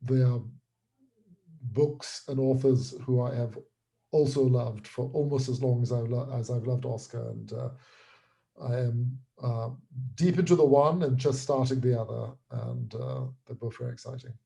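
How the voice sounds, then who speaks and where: Mellow-voiced male interview guest. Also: medium pitch, fairly even intonation, moderate pace, vocal fry. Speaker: interview guest, writer and creator. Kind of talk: casual interview chat.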